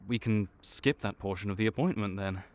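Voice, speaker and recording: A man playing a wry, low-voiced vampire clerk. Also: a little fast, lightly acted. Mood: businesslike. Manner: matter-of-fact, wry, bureaucratic. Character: male vampire intake officer, dry, patient. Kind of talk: audio roleplay.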